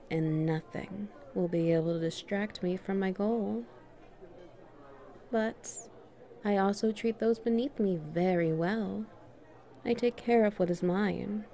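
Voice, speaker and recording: A woman playing a confident, strict and subtly dominant female boss in a flirty audio roleplay, speaking in a measured, teasing way with deliberate pauses. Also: medium pitch, creaky, dark tone. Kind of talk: flirty one-sided roleplay dialogue.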